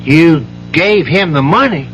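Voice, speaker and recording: A man's voice: a little high, expressive, unhurried, a bit bright, highs cut off. A man playing a dependable, exasperated brother-in-law in a 1970s radio drama, speaking earnestly. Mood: tense. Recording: noisy 1970s radio broadcast recording.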